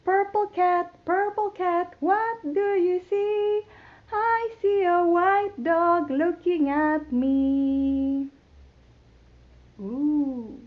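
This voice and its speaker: Very high-voiced woman reading to toddlers, unhurried. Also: phone-quality sound. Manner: playful, expressive, addressing young children; imitates animal sounds. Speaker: children's storytime host. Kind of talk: sing-song picture book reading for toddlers.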